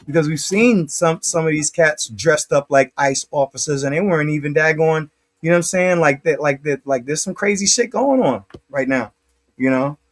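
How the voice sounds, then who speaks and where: A man's voice: on the high side, normal speed. A man co-hosting a casual talk show, reacting to a video in a drawling way, full of fillers, and laughing along. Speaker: co-host.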